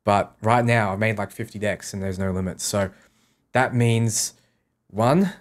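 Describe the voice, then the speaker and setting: A low-voiced man talks casually on a gaming livestream. He reads questions aloud and replies offhand, often repeating short sentences to make his point. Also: steady tone, unhurried. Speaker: game streamer answering chat questions.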